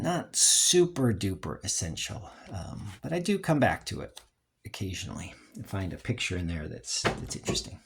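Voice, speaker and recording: A collector giving a casual, unscripted review in a hesitant, rambling way, often trailing off and clearing the throat, with a husky, creaky voice. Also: medium pitch. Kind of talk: casual unscripted show-and-tell.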